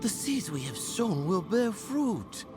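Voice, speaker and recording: Scheming cult villainess for a fantasy game: unhurried, theatrical, widely swinging intonation, coaxing, music beneath. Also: female voice, medium pitch, big pitch swings. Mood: coaxing, zealous. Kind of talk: dramatic video game acting. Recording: music behind the voice.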